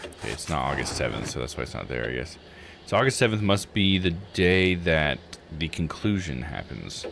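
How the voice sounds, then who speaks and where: A man's voice: rumbling low, very animated, normal speed, gravelly, noisy background. A man giving casual game commentary, thinking aloud in a musing, tentative way as he plays a visual novel. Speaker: streamer playing a visual novel.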